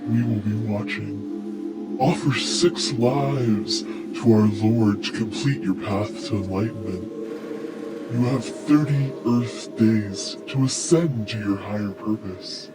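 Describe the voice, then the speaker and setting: Slow, menacing cult herald voiced by a man. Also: lowish voice, poor audio. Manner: solemn, ominous, ceremonial. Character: menacing cult messenger delivering a solemn ultimatum. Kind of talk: audio drama message.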